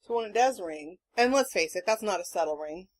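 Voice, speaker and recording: A woman's voice: mid-range voice, fairly quick. Casual, sweary woman podcaster. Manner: animated, sweary, rambling storytelling. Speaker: podcast host. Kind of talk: casual chat.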